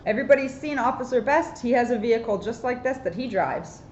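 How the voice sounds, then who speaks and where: A woman's voice: on the high side, fast talker, darkish tone. A woman police officer co-presenting to children, talking casually as she adds safety comments. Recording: roomy, dull, faint background noise.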